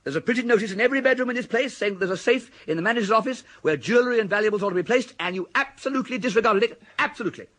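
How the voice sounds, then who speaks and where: Posh young english twit, talks fast, highish husky voice, slangy and pompous, radio comedy. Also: male voice, expressive, fairly bright tone. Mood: indignant. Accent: upper-class English. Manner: blithering, slangy, self-righteous. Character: foppish, cheerfully indignant upper-class young English gentleman. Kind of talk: broadcast radio comedy acting.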